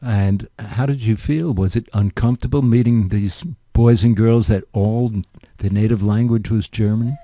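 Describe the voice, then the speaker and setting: A man's voice: lowish voice, steady tone, moderate pace, mellow, no echo. An older man hosting a radio interview, asking chatty, rambling questions full of asides. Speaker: radio show host.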